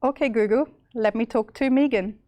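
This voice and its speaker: A young woman with an Austrian accent presenting a live software demo, chatty and full of fillers and restarts. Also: fairly high pitch, normal speed, no room sound, dull audio. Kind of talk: live tech demo walkthrough.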